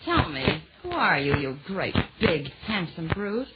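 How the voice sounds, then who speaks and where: A woman's voice: on the low side, very animated, a little slow. A woman playing a flirtatious, wisecracking fairy-tale princess, sassy and blunt, in a 1940s radio comedy. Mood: flirty, sassy. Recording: noisy, dull old 1940s radio recording.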